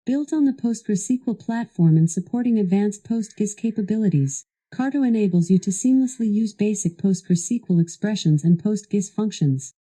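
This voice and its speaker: Very mellow, dark-voiced female assistant. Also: mid-range voice, normal speed, hardly any pauses, slightly rough. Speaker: voice assistant guiding a product demo. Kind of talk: scripted instructional narration.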